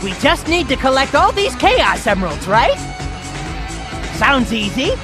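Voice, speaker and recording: Brash young hawk rogue, high lively voice, impatient, game cutscene with music. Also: male voice, a little slow, full character voice. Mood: cocky. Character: cocky young male hawk, gang leader and racer.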